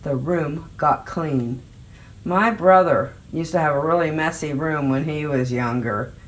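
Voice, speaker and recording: A woman hosting story time, reading a picture book aloud to young children with deliberate, dramatic emphasis on key words. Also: low-pitched. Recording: roomy, echoey indoor sound.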